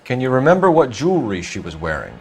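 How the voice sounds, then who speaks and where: Clipped American 1940s police detective, swinging intonation. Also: male voice, medium pitch, huge pitch swings, fast talker, husky, faint music behind, heavily acted. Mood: businesslike. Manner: clipped, businesslike, insistent. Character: stern, principled male 1940s police detective. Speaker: voice actor in a detective video game. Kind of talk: scripted dramatic game acting, police questioning.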